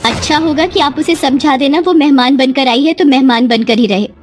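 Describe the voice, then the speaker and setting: Woman voicing a haughty, snapping young daughter, high-pitched. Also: normal speed, soft background music, natural voice. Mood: angry, scornful. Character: spoiled, angry college-age daughter, defiant and scornful.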